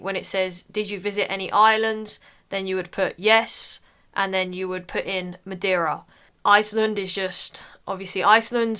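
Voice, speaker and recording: A woman's voice: medium pitch, fairly bright tone, clear, resonant tone. A young woman from the United Kingdom talking casually through an online form, with frequent "uh" fillers. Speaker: tutorial narrator. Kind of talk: casual screen-recording walkthrough.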